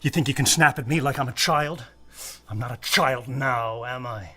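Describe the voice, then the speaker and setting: An actor playing a resentful security officer turned blackmailer in an audio drama, petulant, menacing and volatile. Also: male voice, medium pitch, moderate pace, pushed and bright, breathy edge. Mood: petulant menace.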